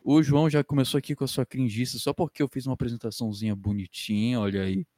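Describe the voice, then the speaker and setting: A man's voice: medium pitch, fairly even intonation, fast talker, mellow, no room sound. A twenty-year-old Brazilian man hosting a podcast as a casual chat with friends, slangy and foul-mouthed. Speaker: podcast host.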